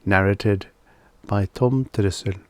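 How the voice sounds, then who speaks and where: A man narrates fiction in a low voice, very slowly and deliberately. The recording is dry and close, with little room sound. He reads as a measured audiobook storyteller. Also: clean tone, no room sound.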